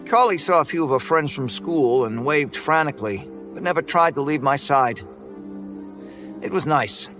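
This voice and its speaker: A man narrating a first-person horror story in a relaxed, folksy way, over faint music. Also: on the high side, moderate pace.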